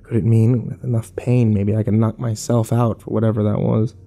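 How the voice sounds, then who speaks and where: A man's voice: fairly low pitch, level tone, fairly quick, vocal fry, mellow. An actor playing a battered, bitter young man who narrates his nightmare in terse, sardonic, profane fragments for a horror audio drama. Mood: weary, bitter.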